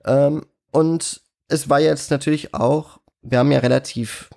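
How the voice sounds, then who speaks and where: A man in a casual interview as a guest, slightly croaky from a cold, speaking in a hesitant, reflective way. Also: on the high side, fairly even intonation, a little slow, dark tone, no room sound, studio quality. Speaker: interview guest, cinema manager.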